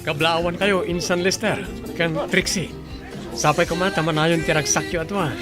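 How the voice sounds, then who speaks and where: A man's voice: on the high side, steady tone, normal speed, music in the background, close to an everyday voice. A man acting in an Ilocano radio drama as a cheerful cousin and loyal best man.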